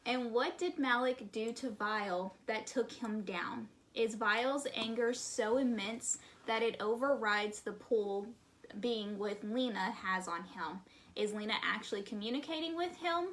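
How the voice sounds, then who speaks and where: A woman's voice: on the high side, a little slow, a bit bright, low-quality recording. A woman co-hosting a casual livestream, reading fan questions aloud and chiming in informally.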